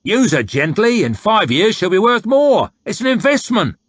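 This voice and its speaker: A man voicing a coarse, bullying soldier who haggles loudly over a price. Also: very animated, moderate pace, no room sound, clean studio sound, full character voice. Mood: wheedling. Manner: loud, high and bullying. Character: coarse loud male slave-driving soldier, crude and haggling.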